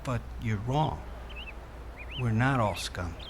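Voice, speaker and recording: An actor playing an earnest New York cop talking down a jumper in an audio drama, plain-spoken and insistent. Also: male voice, mid-range voice, animated, takes it slowly, vocal fry. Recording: faint background noise.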